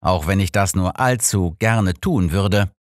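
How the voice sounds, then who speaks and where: A man's voice: sits low, a little slow, dry recording, clean studio sound, voice pushed down. A man voicing an old master detective in an audiobook, earnest, formal and troubled. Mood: earnest, regretful. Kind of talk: audiobook character reading.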